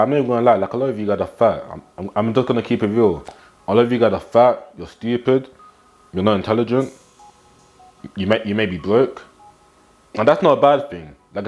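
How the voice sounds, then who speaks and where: A man's voice: on the low side, darkish tone. A nineteen-year-old man, talking straight to camera with blunt, slangy motivation and repeated catchphrases, filmed in a small room at home. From England. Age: teens. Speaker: fitness and self-improvement vlogger.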